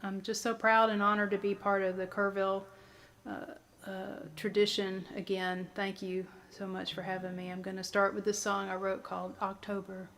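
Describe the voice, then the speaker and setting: A woman from Tennessee with a Southern accent, quietly and quickly introducing herself and her song at a virtual folk concert. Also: medium pitch, little pitch movement, slight background noise. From Middle Tennessee, US. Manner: soft-spoken, quick, a bit shy with fillers. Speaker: songwriter performer. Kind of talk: casual stage introduction.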